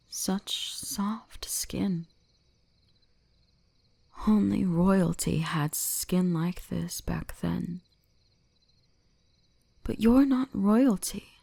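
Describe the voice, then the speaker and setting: Female performer as centuries-old fox-spirit shrine guardian, ASMR roleplay. Commanding, deliberate, strong vocal fry, slow delivery. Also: lowish voice, exaggerated voice. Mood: musing, curious. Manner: slow, deliberate, imperious, teasingly gentle. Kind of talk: scripted ASMR roleplay addressed to the listener.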